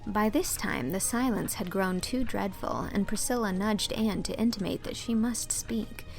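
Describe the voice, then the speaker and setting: Expressive female storyteller with music behind. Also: mid-range voice, normal speed, vocal fry, low-quality recording. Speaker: audiobook narrator. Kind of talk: scripted literary narration.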